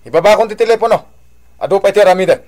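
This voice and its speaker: A man's voice: high voice, very fast, a little mellow, natural voice. An actor playing a busy husband and father who lectures his wife in exasperation in a radio drama. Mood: irritated. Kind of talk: Ilocano radio drama acting.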